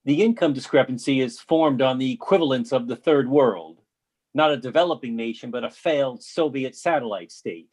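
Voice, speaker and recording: A man's voice: mid-range voice, lively intonation, normal speed, a little mellow, husky. An actor playing an earnest male storm survivor in a readers theatre monologue, declaiming his lines.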